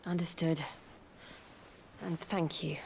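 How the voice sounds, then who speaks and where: An actress playing a stoic, devoted young woman in a video game, speaking softly and earnestly. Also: deep voice, level tone, creaky, a little hiss or hum, heavily acted. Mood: subdued. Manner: soft, slow, earnest. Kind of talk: dramatic video game cutscene acting.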